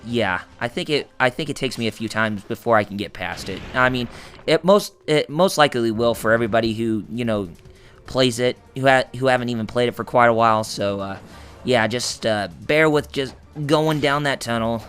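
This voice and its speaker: Male let's-play host, hoarse and raspy, chatty and rambling; bright tone. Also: medium pitch, moderate pace, faint music behind. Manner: rambling, full of fillers and restarts, jokey. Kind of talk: gameplay commentary.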